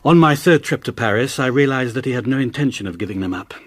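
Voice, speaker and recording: An actor playing an honourable, wrongly accused gentleman in a period radio drama, speaking formally and with weary restraint. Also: medium pitch, expressive, quick pace, lightly acted. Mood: grave.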